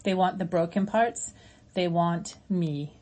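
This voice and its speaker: A woman reading a gentle, wounded female robot in a storybook, measured and earnest in manner. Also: lowish voice. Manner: measured, earnest, plainly spoken. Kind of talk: audiobook character reading.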